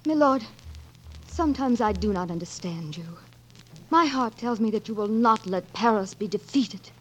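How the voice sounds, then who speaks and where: An actress playing a highborn, romantic young lady, earnest and courtly, with a husky, breathy voice in 1940s radio drama style. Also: lively intonation, moderate pace, a little hiss or hum, natural voice. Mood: tender, earnest. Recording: dull vintage 1940s radio broadcast.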